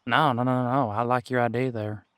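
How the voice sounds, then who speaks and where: A man playing a seasoned, wry detective who patiently mentors a rookie in an audio roleplay drama, musing aloud in unhurried, folksy phrasing. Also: medium pitch, steady tone, a bit bright, smooth, no room sound, clean studio sound, close to an everyday voice. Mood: intrigued. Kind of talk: roleplay audio drama, one-sided conversation.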